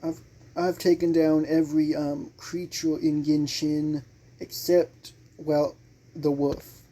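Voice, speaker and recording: A young man doing casual gameplay commentary as a channel host, halting and sometimes muttering. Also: fairly high pitch, flat delivery, mellow.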